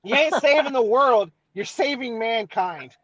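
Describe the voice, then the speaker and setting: A man's voice: very high voice, expressive, a little slow, fairly bright tone, no room sound. A man with a Mexican-American East Los Angeles accent, co-hosting a casual podcast chat, swearing freely and checking in with "right?" as he explains. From Southern California. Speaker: podcast co-host.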